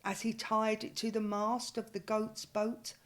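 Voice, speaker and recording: Older woman, English accent, storyteller, slow, expressive, slightly high. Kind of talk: reading a picture book aloud.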